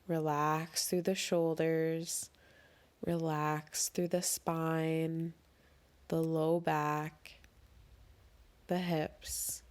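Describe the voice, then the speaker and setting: A woman leading a guided breathing meditation as an instructor, speaking in a gentle, soothing, instructional way. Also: sits low, level tone, very slow, long pauses.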